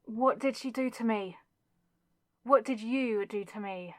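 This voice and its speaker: A woman playing a possessive, lovesick demonic villainess in a dramatic ASMR audio roleplay, speaking with intense, deliberate pauses. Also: on the high side, no room sound, clean studio sound, natural voice. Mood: conflicted.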